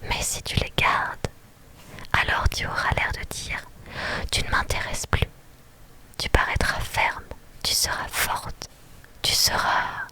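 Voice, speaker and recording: Storyteller whispering a pushy blunt woman friend, slow bright whisper. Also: low-quality recording. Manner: whispered throughout. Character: brash woman friend hosting a dinner, blunt.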